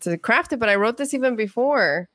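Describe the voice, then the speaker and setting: A woman being interviewed as a writer, telling personal stories in a conversational, animated way. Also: a little high, fairly quick, no echo.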